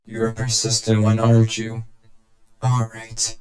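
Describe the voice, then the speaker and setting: An actor voicing a scheming, vengeful game villain who tells his story in a deliberate, condescending, smug way. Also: on the low side, monotone, echoey room, natural voice. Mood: smug, taunting. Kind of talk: dramatic video game cutscene monologue.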